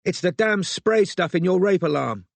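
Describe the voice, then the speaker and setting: A man's voice: sits high, animated, unhurried, no echo, pitched above the performer's own voice. A man voicing a shaken, injured young woman in an audiobook, pained and gasping yet exasperated and feisty. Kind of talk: audiobook character acting.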